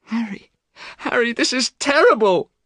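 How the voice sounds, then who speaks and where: An anguished, volatile young gentleman crying out in high, strained bursts, as a male narrator acts him out in an audiobook. The voice jumps far above the reader's own and rings bright and sharp. The recording is dry and close. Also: lively intonation, measured pace, dry recording, exaggerated voice. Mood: distraught. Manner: cries out in high, strained outbursts.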